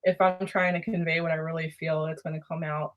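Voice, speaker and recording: A woman with a Baltimore accent talks on a podcast in a lowish voice. She tells her stories with life and drops into the voices of the people in them. It is relaxed, loose guest chat. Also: brisk. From Baltimore, USA. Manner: lively storyteller who acts out quoted voices.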